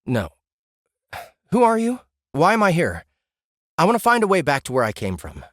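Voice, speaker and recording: A man's voice: on the high side, huge pitch swings, moderate pace, fairly bright tone, no room sound, studio quality, pitched above the performer's own voice. A man voicing a stammering, bewildered young man. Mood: flustered. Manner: stammering, flustered questions. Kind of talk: audiobook character voice.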